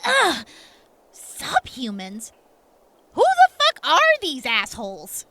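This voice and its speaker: Lady acting a sarcastic foul-mouthed wasteland girl, super high voice, really noisy recording. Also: wildly swooping pitch, takes it slowly, full character voice. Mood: outraged. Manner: mocking, incredulous. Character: brash, foul-mouthed, sarcastic young female fighter. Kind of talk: post-apocalyptic audio drama. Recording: very noisy background, clicks.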